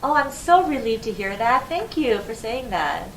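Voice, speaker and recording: Courteous, protective mother played by a woman, earnest and halting, higher voice, distant in a roomy echo. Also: very animated. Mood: grateful, apologetic. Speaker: parent. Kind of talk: role-played phone call demonstration. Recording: echoey room, distant mic, faint noise.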